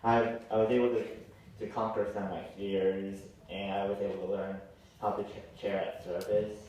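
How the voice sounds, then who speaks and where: Halting, low-voiced teenage guy in echoey hall. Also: level tone, a little mellow. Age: late teens, young adult. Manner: halting, stumbles over words and repeats phrases. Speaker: graduating senior giving a speech. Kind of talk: short farewell speech. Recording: very echoey hall, distant mic, faint noise.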